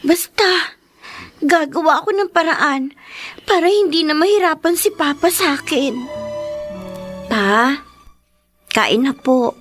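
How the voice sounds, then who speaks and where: A woman with a Filipino accent acting a devoted, dutiful teenage daughter in a Tagalog radio drama, respectful and soft-hearted in manner. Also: high-pitched, animated, takes it slowly, pauses often, faint music behind, heavily acted. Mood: tender pleading.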